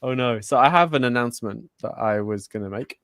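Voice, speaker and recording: A man's voice: mid-range voice, a little fast, fairly bright tone, dry recording. Easygoing man co-host, dry close sound. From born in Germany, raised in Spain. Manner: laughs often, self-correcting. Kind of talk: casual chat.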